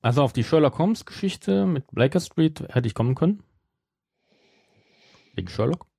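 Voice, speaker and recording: A man's voice: on the high side, animated, normal speed, gravelly, dark tone, slightly rough, dry recording, clean studio sound. A man co-hosting a podcast, discussing an audio drama casually in a matter-of-fact way. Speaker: podcast host.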